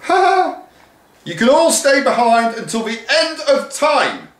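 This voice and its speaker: A man's voice: sits high, big pitch swings, normal speed, fairly bright tone, pitched above the performer's own voice. A man shrieking as a tyrannical old schoolmistress. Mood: gloating. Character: furious bossy older woman deputy head teacher. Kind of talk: storybook reading. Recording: roomy echo.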